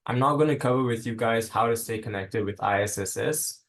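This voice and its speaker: A man's voice: medium pitch, brisk. A young man from Singapore, a student leader giving an upbeat, encouraging presentation from notes. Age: young adult. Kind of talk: scripted presentation on a video call.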